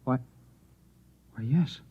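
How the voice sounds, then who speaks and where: A man's voice: slow, dark tone, lightly acted. An actor playing a naive, earnest, bewildered man with a Martian mind in a 1950s radio drama, on an old muffled recording. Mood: sincere. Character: naive, sincere husband possessed by lost Martian mind.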